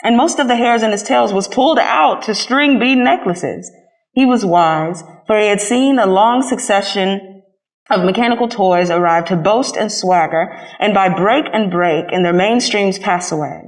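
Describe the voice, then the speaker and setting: A woman's voice: mid-range voice, normal speed, low-quality recording. A woman reading a children's story aloud as a scripted narration. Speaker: storybook reader.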